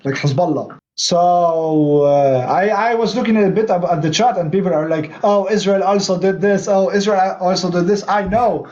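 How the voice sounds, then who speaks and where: Brief: male guest, Argentinian-tinged English, hesitant, fillers, a slightly higher voice, dull call audio. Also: moderate pace, few pauses, darkish tone. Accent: Argentinian Spanish. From Palestine. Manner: hesitant, many fillers and restarts. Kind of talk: casual livestream conversation.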